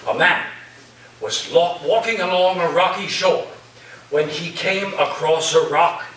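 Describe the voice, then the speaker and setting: A man's voice: sits high, measured pace, fairly bright tone. A man playing an eccentric, grandiose college professor and dreamy storyteller in a theatrical staged reading, with a dramatic delivery. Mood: dreamy, solemn. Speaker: actor in a staged play reading. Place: echoey room at a live staged reading. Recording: roomy, distant mic, faint noise.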